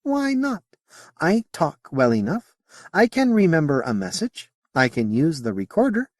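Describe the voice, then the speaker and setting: A man voicing a curious female alien guide who speaks slowly with careful enunciation and formal phrasing. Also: fairly high pitch, wildly swooping pitch, dry recording, clean studio sound, pitched above the performer's own voice, full character voice. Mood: calm, probing.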